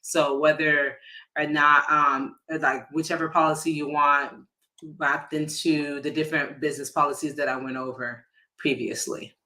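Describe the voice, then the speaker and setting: A man giving an informal explanatory talk as an insurance agent, speaking casually and haltingly, often rephrasing mid-sentence. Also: fairly high pitch, little pitch movement, bright, forceful tone, low-quality recording.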